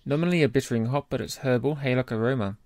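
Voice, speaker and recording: Podcast guy, mid pitch, casual but measured n analytical, real dry sound no echo. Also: darkish tone. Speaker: podcast co-host. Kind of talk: casual chat, beer review.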